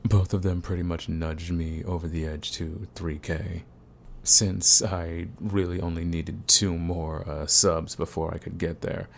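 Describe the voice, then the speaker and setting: Male channel host: low and croaky, informal, rambling chat straight to listeners. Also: level tone, unhurried, slight background noise. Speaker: audio roleplay creator, channel host. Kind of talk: casual rambling channel update.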